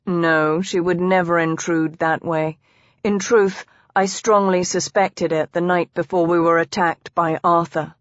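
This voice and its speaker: A woman's voice: lowish voice, fairly even intonation, a little slow, no room sound, lightly acted. A woman voicing a tender, teasing husband in a historical romance audiobook, with formal old-fashioned phrasing. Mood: calm. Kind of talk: audiobook character dialogue. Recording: dry, slightly dull close recording.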